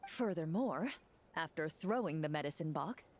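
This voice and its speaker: A woman voicing a composed, analytical game master, narrating a fantasy battle in a measured, precise, formal way. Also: low voice, expressive. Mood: calculating. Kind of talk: scripted narration in a video game.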